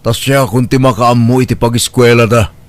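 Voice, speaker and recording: A benevolent male employer played by a man talks in a medium-pitched voice with an Ilocano accent. He is concerned and reassuring, recorded close and dry for an audio drama. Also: fairly even intonation, moderate pace, no echo, lightly acted. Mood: generous.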